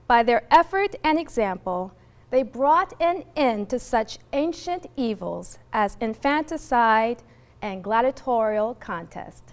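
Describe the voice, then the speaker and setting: Slow, high-pitched woman reading expressively. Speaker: reader. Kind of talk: public reading of a historic letter.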